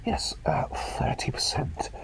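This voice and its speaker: A man voicing an eccentric, doting plague doctor in a breathy, hesitant, soothing whisper for an ASMR roleplay. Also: unhurried, natural voice, low-quality recording. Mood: instructive, fussy. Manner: hesitant, muttering, gently soothing and coaxing.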